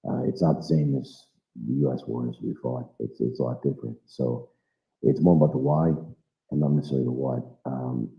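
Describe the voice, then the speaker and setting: Very deep gravelly American-born man. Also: fairly even intonation, normal speed, soft background music. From United States. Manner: earnest, philosophical, blunt with occasional swearing. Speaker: volunteer fighter in Ukraine. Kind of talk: reflective talk in a live audio chat. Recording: echoey, dull lo-fi online audio room.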